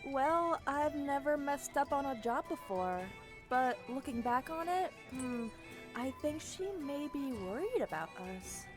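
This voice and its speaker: High-voiced charming young elf assassin played by a woman. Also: expressive, unhurried, over music, low-quality recording. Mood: troubled, confiding. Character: young winter elf assassin, charming, sweetly feigning innocence. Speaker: voice actor in an audio roleplay. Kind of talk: fantasy audio drama acting.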